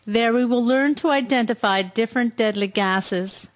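A woman playing a dutiful, steady army nurse in a radio drama, speaking in a composed and formal way. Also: a little high, moderate pace, lightly acted. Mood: calm.

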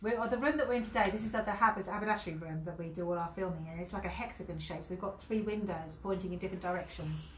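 A middle-aged British woman, hoarse and losing her voice, hosting a chatty live sewing stream. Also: fairly low pitch, racing, hardly any pauses, roomy sound, slight background noise. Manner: chatty, warm-hearted and laughing between remarks. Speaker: sewing teacher and host. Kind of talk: casual live-stream chat. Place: hexagon-shaped sewing room, indoors.

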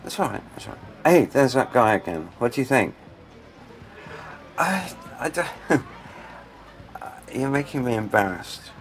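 Radio play: an actor as a nosy, friendly pub talker, lively pitch, unhurried. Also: male voice, a little hiss or hum. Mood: teasing. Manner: eager, prodding questions, openly admiring. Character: curious, chatty man making conversation in a pub.

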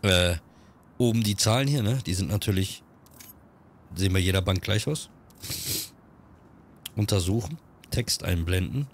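A man with a cold, chatting quickly through casual gameplay commentary and reading on-screen text aloud. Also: deep voice, animated, slight background noise. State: has a cold. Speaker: let's-play gamer.

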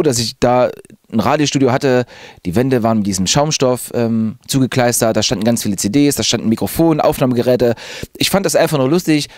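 Man, 30s, radio presenter, chatty and upbeat, average pitch, dry studio sound. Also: dry recording. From Zwickau, Saxony, Germany. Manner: lively, chatty, enthusiastic. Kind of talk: casual personal account to camera. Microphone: handheld reporter mic.

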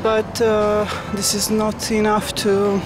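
A woman's voice: mid-range voice, monotone, slow, over music. A young woman answering hesitantly in an outdoor street interview. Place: city square, outdoors. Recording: clear street noise.

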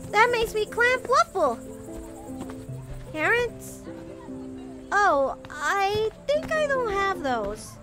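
A very high, slow-speaking little orphan boy, innocent and earnest. It is an audio roleplay with music playing clearly behind the childlike voice. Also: sing-song, heavily acted. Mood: innocent, friendly. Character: innocent, polite little orphan boy looking for lost Fluffle.

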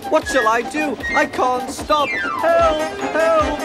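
A man voicing an excitable small male steam engine in a children's story, frantic and shrill. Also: takes it slowly, loud music behind the voice, exaggerated voice. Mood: panicked.

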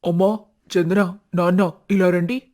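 A man voicing a dutiful, respectful grown son in an animated family story, speaking earnestly. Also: high voice, measured pace. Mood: eager. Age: young adult.

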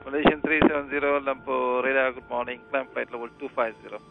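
A male radar controller speaks quickly in clipped radio phrases, his voice gravelly with a creaky edge. The transmission is dull and lo-fi, with music clearly heard behind it. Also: mid-range voice, steady tone. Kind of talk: issuing climb clearances over radio.

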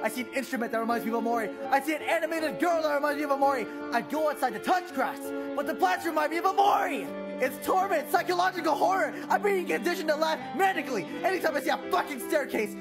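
High voiced girl ranting fast, totally exasperated, loud music behind. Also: lively intonation. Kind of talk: frantic comedic rant.

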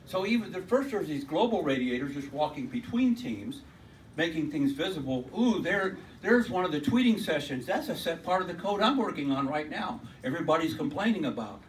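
A man with a loud voice giving an informal technical talk at a meetup, rambling and restarting his sentences. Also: a little high, sing-song, fairly quick, distant mic, slight background noise, low-quality recording. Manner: rambling, with many restarts and repeated words. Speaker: conference speaker. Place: lecture room at a tech meetup. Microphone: handheld mic, often held away from the mouth.

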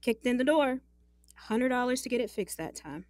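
A woman's voice: fairly high pitch, expressive, moderate pace, a little mellow, dry recording, close to an everyday voice. Dry-recorded, exasperated young woman played by an actress. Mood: exasperated, wry. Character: young working woman, exasperated, sardonic, guarded. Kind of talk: audio drama acting.

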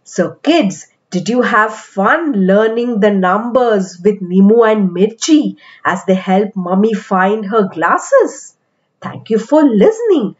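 A woman with an Indian accent reading aloud to young children as a storytelling host, with deliberate, expressive phrasing. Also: medium pitch, dull audio. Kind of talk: animated picture-book read-aloud for kids.

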